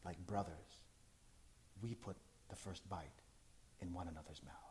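A man from New Jersey who works as a poet. He gives an intense, measured reading of his poems and then talks casually with a live audience, laughing as he goes. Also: low-pitched, fairly even intonation, noisy background. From New Jersey, USA. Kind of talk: poetry reading and audience talk. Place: live event in front of an audience.

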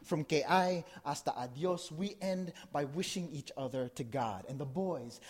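A man's voice: a little high, a little mellow. Middle-aged man from New Jersey reciting poetry. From New Jersey, USA. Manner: conversational, asks the audience questions, then recites with rising intensity. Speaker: spoken word poet. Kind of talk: live poetry reading with talk to audience.

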